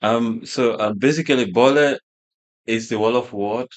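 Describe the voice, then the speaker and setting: A man's voice: mid-range voice, moderate pace. A man from Gambia whose first language is Wolof. He is a fashion brand founder talking as a guest in a casual interview, with many fillers and restarts.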